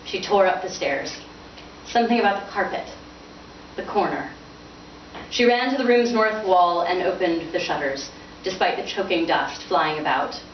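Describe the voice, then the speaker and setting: Woman author at a book reading; lower-pitched voice, picked up from a distance with noise. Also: normal speed, muffled recording. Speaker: author reading from own novel. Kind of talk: informal book talk and dramatic prose reading. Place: bookstore reading event. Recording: roomy, distant, noisy bandlimited event recording.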